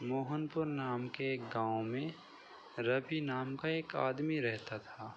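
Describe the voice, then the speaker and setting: A man's voice: mid-range voice, slow pace, dull audio, slight background noise. A man narrating a scripted children's moral story as a storyteller.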